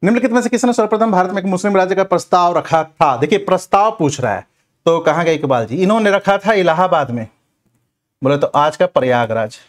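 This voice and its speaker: Higher-pitched male exam teacher. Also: animated, a little fast. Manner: instructive, addresses students directly with repeated check-in tags. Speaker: history teacher for competitive exams. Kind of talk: fast exam-revision lecture, question and answer.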